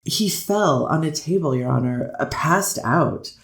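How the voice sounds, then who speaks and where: A performer playing a hesitant, deferential defense lawyer who pleads to a judge in halting, repetitive phrases, in a courtroom readers theater piece. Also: medium pitch, expressive, creaky. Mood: halting, explanatory. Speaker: defense attorney. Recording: roomy, echoing voice recording.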